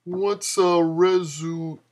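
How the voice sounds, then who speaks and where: A man's voice: sits high, fairly even intonation, drawn-out words, darkish tone. A man voicing a clueless, easily awed young slacker in a dramatic story reading, with dopey, drawling slang. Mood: puzzled. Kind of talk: dramatic reading of flash fiction.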